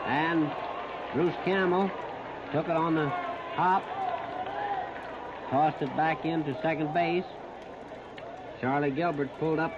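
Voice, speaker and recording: A dull, noisy 1930s radio recording carries an American man announcing a baseball game. His voice sits a little high for a man. He calls the action step by step in short, clipped phrases, leaving pauses between them. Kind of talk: 1939 live radio sports commentary. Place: outdoor ballpark, broadcast position behind home plate.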